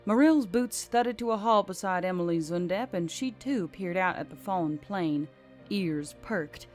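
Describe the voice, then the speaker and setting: A woman's voice: fairly low pitch, measured pace, darkish tone. A woman reading scripted fiction as an audiobook narrator. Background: background music.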